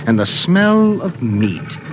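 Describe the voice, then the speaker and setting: An actor narrating a 1950s science fiction radio drama in a vivid, deliberate, dramatic storytelling manner. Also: male voice, medium pitch, huge pitch swings, noisy background. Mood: intense. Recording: dull vintage 1950s radio recording.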